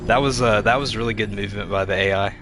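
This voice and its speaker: A man with a stuffy, congested voice, livestreaming a video game with casual, halting commentary and replies to chat. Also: on the low side, a bit bright, slight background noise. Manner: halting, reading and answering chat aloud. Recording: game music behind the voice. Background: video game music.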